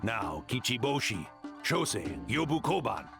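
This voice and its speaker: A man voicing a proud, excitable tanuki mentor in a video game, with a theatrical, blustering and suspicious delivery. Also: medium pitch, measured pace, vocal fry, over music. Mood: proud, instructive. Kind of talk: English video game voice acting.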